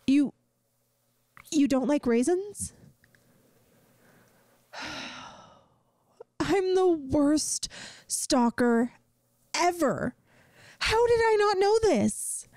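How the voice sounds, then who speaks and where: A woman's voice: sits high, darkish tone, no echo, clean studio sound, full character voice. A woman playing a bubbly, inept, lovestruck young yandere student in an audio roleplay, rambling and pleading dramatically with the listener. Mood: dejected, bargaining. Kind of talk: scripted ASMR audio roleplay, addressing the listener.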